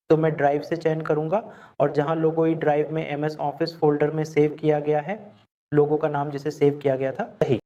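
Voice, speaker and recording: A man giving a step-by-step software tutorial as a screen-recorded lesson. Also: a little high, muffled recording. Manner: step-by-step instructional explaining.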